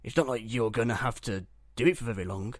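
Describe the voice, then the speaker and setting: Man playing a calm ship medic, dry sound. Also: medium pitch, fast talker, no echo, natural voice. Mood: reassuring. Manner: matter-of-fact, reassuring. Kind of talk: Minecraft roleplay web series voice acting.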